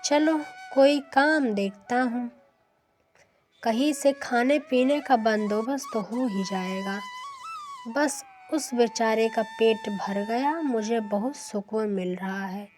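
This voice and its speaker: A woman voicing a kind, selfless young village man in a cartoon moral story, gentle and earnest. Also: fairly high pitch, takes it slowly, faint music behind. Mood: content.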